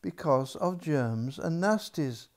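Elderly man playing bossy maid, emphatic, warning, slow, drawn-out words, storybook reading. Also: fairly high pitch, expressive.

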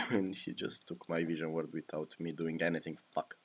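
Romanian-accented young man, low voice. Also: lively intonation, moderate pace, a bit bright, breathy edge, highs cut off. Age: young adult. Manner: swears and exclaims at misplays. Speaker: gaming YouTuber. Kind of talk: live commentary over own gameplay. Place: small home room.

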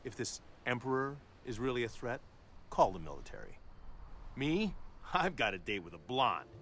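An actor playing a cocky, flippant action star in a fighting game, wisecracking with an American accent. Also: male voice, medium pitch, big pitch swings, normal speed, fairly bright tone. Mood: smug bravado. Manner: brash, wisecracking.

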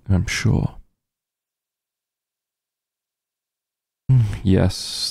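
A man voicing a possessive, teasing vampire mafia heir in an intimate ASMR roleplay, husky and gravelly, with a condescending drawl. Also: deep voice, warm tone, no room sound, clean studio sound, full character voice. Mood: grave warning. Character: possessive, teasing male vampire mafia heir, coolly menacing yet doting.

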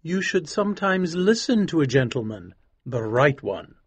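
A man doing a reserved, proper gentleman. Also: a little high, sing-song, moderate pace, slightly rough, no room sound, voice pushed up, full character voice. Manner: polite and restrained. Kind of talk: audiobook character voice.

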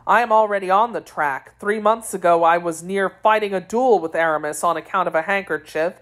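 A woman's voice: fairly low pitch, brisk, natural voice. A woman voicing an ardent, persistent young gallant in an audiobook, with a courtly, earnest manner. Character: ardent, jealous, persistent gallant young man under twenty.

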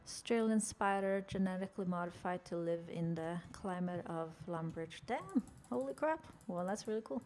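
A woman's voice: on the low side, expressive, moderate pace, hardly any pauses, darkish tone. A young woman from Norway with a Norwegian accent, chatting playfully with viewers while narrating her game character. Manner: chatty, jokey, chatting with chat while playing. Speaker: game streamer.

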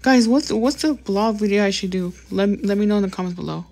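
A woman's voice: mid-range voice, brisk, darkish tone. Apologetic rambling woman gaming vlogger. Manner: apologetic, rambling, many fillers. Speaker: gaming YouTuber. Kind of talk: casual gameplay commentary.

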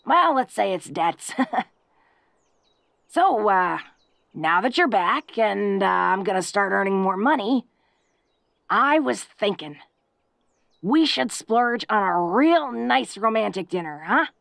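A woman playing a bashful, slow-talking orc girlfriend. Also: mid-range voice, lively intonation, vocal fry, no room sound, studio quality, full character voice. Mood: earnest, tender. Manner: awkward, halting, self-deprecating, with soft chuckles. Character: tough, big, bashful, sweetly devoted orc girlfriend. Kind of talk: intimate romantic audio roleplay.

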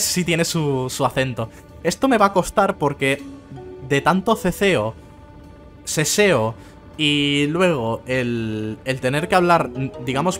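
A man's voice: medium pitch, fairly bright tone. A man casually commenting on a game he is playing, sometimes reading game lines aloud in voices. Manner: chatty, reads game text aloud in voices. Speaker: let's play host. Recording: faint game music behind.

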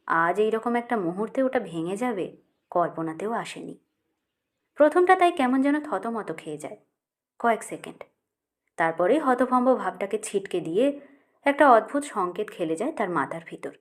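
A woman reading a literary short story as an audiobook narrator, in a measured, expressive way. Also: medium pitch.

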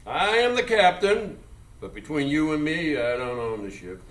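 Tipsy american steamboat captain, rambling and mocking, high swoopy voice, echoey radio play stage. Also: male voice, sing-song, normal speed, a bit bright. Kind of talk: live radio drama acting. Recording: echoey live stage, distant mic.

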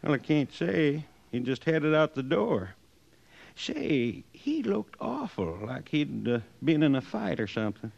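Old gravelly hotel clerk, rambly folksy, dull old radio sound. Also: male voice, a little high, sing-song, unhurried, darkish tone, full character voice. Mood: easygoing. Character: older male hotel clerk called Pop, easygoing. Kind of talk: 1950s radio drama acting.

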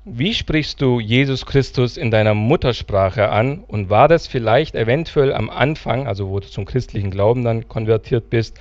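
A man's voice: mid-range voice, moderate pace, hardly any pauses, darkish tone, dry recording, lo-fi sound. Polite middle-aged man interviewing. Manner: formal, polite questioning. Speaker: interviewer, church host. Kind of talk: church stage interview. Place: church hall.

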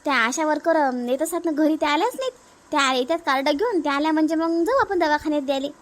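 Woman as a high-voiced, chatty young mother. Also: fast, close to an everyday voice. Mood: reassuring. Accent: Varhadi Marathi. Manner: chatty, explaining. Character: young mother, compliant chatty daughter-in-law in family cartoon.

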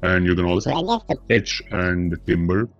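A man demonstrating software through an AI voice changer set to deep, mature male presets. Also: sing-song, moderate pace, faint music behind. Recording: dull lo-fi processed audio.

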